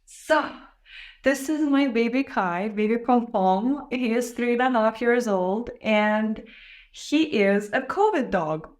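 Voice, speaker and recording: A young woman from Israel with a foreign-accented English, hosting her podcast and telling her life story in a candid, reflective way with soft laughs. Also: a little high, expressive, slow. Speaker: podcast host. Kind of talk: casual personal monologue to camera.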